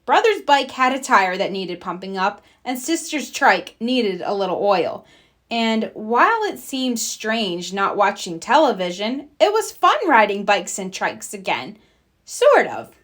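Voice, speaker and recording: A woman narrating a children's picture book in an expressive storytelling style. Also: medium pitch, measured pace.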